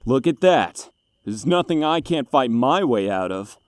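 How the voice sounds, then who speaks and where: A man's voice: mid-range voice, big pitch swings, measured pace, dry recording, studio quality. A man playing a hot-headed, proud young echidna fighter in a dramatised audiobook, brash and challenging. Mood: boastful. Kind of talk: dramatised audiobook character acting.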